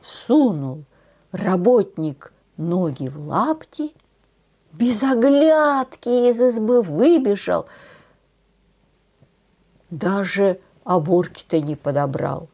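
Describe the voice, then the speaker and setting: Slow-paced, lively older woman storyteller. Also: mid-range voice, husky. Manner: unhurried, folksy, with diminutives. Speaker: storyteller on a channel. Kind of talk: expressive folk-tale storytelling. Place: small decorated room indoors.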